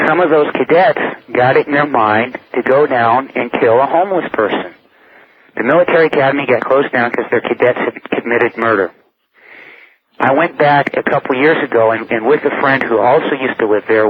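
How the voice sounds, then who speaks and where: A man's voice: mid-range voice, fairly quick, slight background noise. An American man calling a radio show by phone to tell a personal ghost story. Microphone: telephone. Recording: dull lo-fi phone call to radio.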